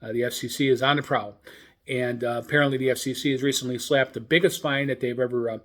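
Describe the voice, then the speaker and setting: A man's voice: mid-range voice, steady tone, fairly bright tone. Hesitant man hosting an informal radio show. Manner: hesitant, halting delivery. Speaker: host of a shortwave radio news show. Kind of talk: informal hobbyist radio news commentary.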